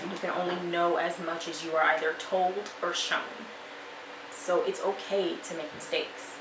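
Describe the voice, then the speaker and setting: Young woman in her twenties, lowish voice, encouraging vlogger tone; roomy recording with steady background noise. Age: 20s. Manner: chatty, encouraging, many fillers. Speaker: vlogger and life coach. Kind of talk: motivational talk to camera. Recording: echoey room with noise.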